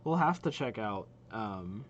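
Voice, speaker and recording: A man's voice: on the low side, expressive, measured pace. Casual young man, faint noise behind. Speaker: reaction video host. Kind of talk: casual, rambling reaction commentary.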